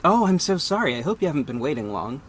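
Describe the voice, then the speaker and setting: An actor playing a friendly young shopkeeper and amateur sleuth who jokes around and gets easily flustered. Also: male voice, a little high, fast talker, a little hiss or hum. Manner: lively, joking, easily flustered.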